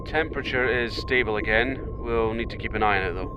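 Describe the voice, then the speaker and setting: A man's voice: mid-range voice, animated, fairly quick, lo-fi sound, background noise, natural voice. An actor playing a male captain watching over a submarine dive with concern. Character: Scottish male captain guiding a sub dive. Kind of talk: audio drama acting.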